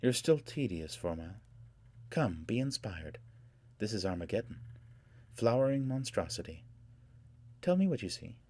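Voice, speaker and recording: A man voicing a haughty, rapturous young noblewoman in an audiobook, with a soft, husky voice and a languid, taunting delivery. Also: on the low side, sing-song, no room sound. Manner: theatrical, languid, taunting, with drawn-out words.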